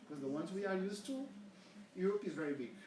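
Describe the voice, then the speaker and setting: Portuguese guy presenting research to a small group, kinda high voice, roomy echo, faint hiss. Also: fast, darkish tone. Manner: earnest, poses questions to the audience. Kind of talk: academic seminar presentation. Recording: echoey room, from far off, faint hiss.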